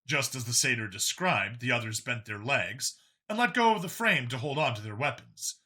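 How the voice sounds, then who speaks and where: Bright, forceful male story narrator. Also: medium pitch, expressive, normal speed. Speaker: audiobook narrator. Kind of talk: scripted fiction narration.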